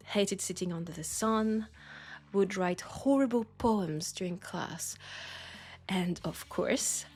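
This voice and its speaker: A woman acting a playful, nostalgic old friend in an intimate audio roleplay. She has a French accent and a mid-pitched voice. She talks slowly and teasingly, as in a casual chat, with faint guitar music behind her. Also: slightly rough. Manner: unhurried, teasing, breaking into soft laughs.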